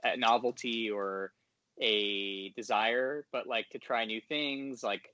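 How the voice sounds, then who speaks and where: A man's voice: on the low side, fairly even intonation, slow, bright, forceful tone, dry recording, lo-fi sound. A man talking casually as a podcast guest, hesitant and polite in his phrasing. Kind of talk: casual interview talk. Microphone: online call.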